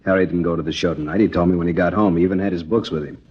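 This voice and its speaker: Gruff, very husky, defensive American father. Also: sits low, steady tone, racing, a little mellow. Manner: curt, indignant. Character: defensive, irritated, disbelieving father of a teenage son. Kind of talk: 1950s radio drama acting. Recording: dull old radio recording.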